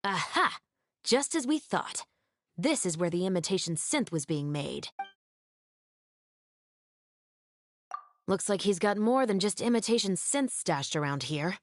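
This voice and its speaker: An actress playing a brisk, keen young female patrol officer in a video game, speaking in an articulate, confident, analytical way. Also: fairly low pitch, sing-song, dry recording, clean studio sound. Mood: triumphant. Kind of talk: scripted video game voice acting.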